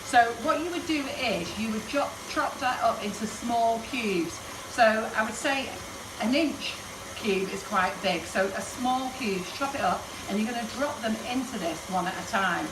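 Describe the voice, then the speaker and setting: A woman's voice: a little high, moderate pace, recorded from a distance. Chatty woman in an echoey kitchen. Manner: chatty, rambling, jokey, laughs often. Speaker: baking demonstrator, host. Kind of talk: chatty live baking demonstration. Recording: echoey kitchen, voice from off the mic, background noise.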